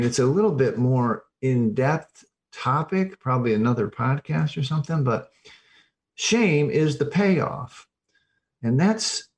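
A mature man talks as an expert guest in an informal interview. His pitch sits in a medium range, and he explains with emphasis, often correcting himself and repeating phrases as he goes. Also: a little mellow. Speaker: podcast guest, addiction recovery expert.

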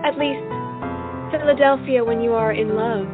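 A woman's voice: fairly high pitch, normal speed, close to an everyday voice. An actress playing a romantic, devoted young colonial lady in love, in a period stage play. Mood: lovestruck. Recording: muffled recording with music behind.

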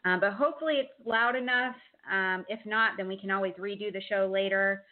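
A woman's voice: medium pitch, fairly even intonation, a little fast. A woman hosting a talk show over a video call, hesitant, with frequent ums and restarts. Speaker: host. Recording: tinny phone-line audio.

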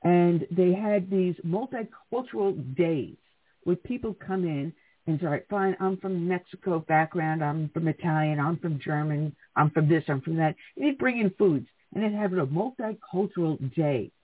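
An opinionated male talk radio co-host tells stories with indignant emphasis. His voice is husky and a little high. It has a thin, phone-like band. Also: moderate pace. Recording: tinny phone-like sound.

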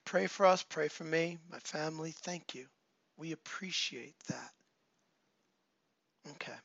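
An earnest man pastor, speaking a little high, leans on repeated phrases and frequent ums. His voice is still rough from a recent cold. The recording is dry and somewhat muffled. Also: a little slow, fairly bright tone, no echo. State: recovering from a cold, voice not fully back. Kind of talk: online church service, announcements and appeals.

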